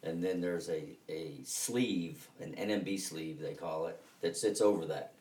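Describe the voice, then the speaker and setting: A low-pitched man walks through technical steps in an informal interview. He stops and restarts, leaning on the important points, and sounds set back from the mic in a room with strong echo. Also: measured pace, a little mellow. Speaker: construction team leader being interviewed. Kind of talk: conversational technical explanation. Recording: echoey room, distant mic.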